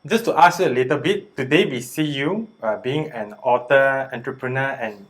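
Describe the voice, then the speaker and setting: A man hosts an interview in a medium-pitched voice. He asks his questions politely and at a measured pace, often starting with a hesitant "um". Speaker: interviewer, host.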